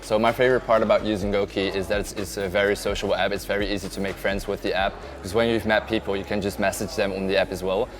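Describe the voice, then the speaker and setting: A man's voice: fairly low pitch, flat delivery, fast, few pauses, over music. A nineteen-year-old man from the Netherlands with a Dutch accent, giving a casual testimonial as a backpacker.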